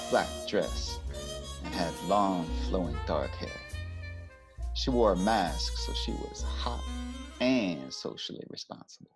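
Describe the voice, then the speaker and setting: A man playing a sardonic hard-boiled narrator in a noir parody radio play, deadpan in delivery. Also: deep voice, exaggerated voice. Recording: loud brass music under the voice.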